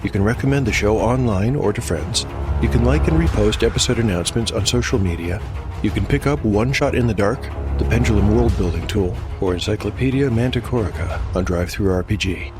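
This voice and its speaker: Low-voiced male narrator over faint music. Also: brisk. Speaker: narrator and podcast host. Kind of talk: scripted narration.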